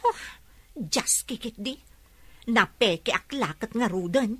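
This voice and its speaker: A woman's voice: a little high, expressive, slow, fairly bright tone, husky, exaggerated voice. A young woman acting a defiant, spiteful daughter in an Ilocano radio drama. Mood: defensive.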